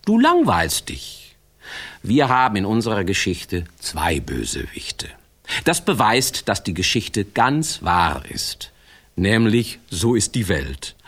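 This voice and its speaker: An actor playing a quirky fairy-tale storyteller in a children's radio play, rambling and talking straight to the listener in a whimsical way. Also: male voice, lowish voice, wildly swooping pitch, slow, fairly bright tone, exaggerated voice. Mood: chiding, confiding. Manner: whimsical, digressive, addressing the listener directly.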